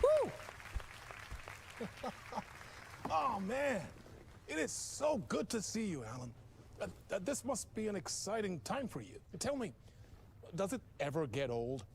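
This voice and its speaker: An actor playing a flamboyant TV talk show host in a game cutscene, over-the-top and effusive. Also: male voice, sits high, very animated, measured pace, gravelly, pauses often, some chatter behind, a little hiss or hum, heavily acted. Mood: gushing.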